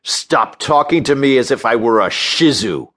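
A man's voice: medium pitch, lively intonation, normal speed, sharp tone. A man voicing an irate, sarcastic, pompously eloquent research doctor in a comic storybook reading. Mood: snarling. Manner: snarling, indignant, pompous wording. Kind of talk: audiobook character voice in a comic story.

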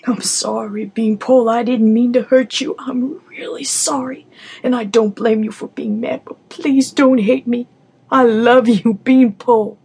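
A woman's voice: a little high, fairly even intonation, measured pace, vocal fry, darkish tone, husky, heavily acted. A woman voicing a middle-aged father who apologizes earnestly to his daughter. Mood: remorseful.

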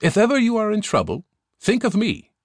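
A man's voice: high voice, huge pitch swings, a little fast, no room sound, clean studio sound, pitched above the performer's own voice, heavily acted. A man voicing a tiny, courteous and earnest ant king in a storybook. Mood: grateful.

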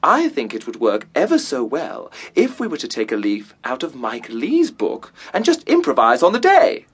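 A man's voice: medium pitch, wildly swooping pitch, normal speed, slightly rough. An actor playing a pompous, self-important amateur theatre director in a comic radio drama, grandly enunciating his words. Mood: breezily dismissive.

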